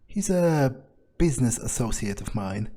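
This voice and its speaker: A man speaking in character during a video game playthrough, in a halting, musing way. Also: mid-range voice, expressive, dark tone. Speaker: player voicing his own character in a let's play.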